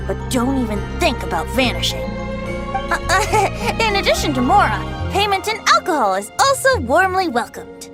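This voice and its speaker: A boyish young bard from an anime game, high and very animated. He is wily and playful, stretching words theatrically over background music. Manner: theatrical, drawn-out, teasing phrasing. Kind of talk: anime video game dialogue.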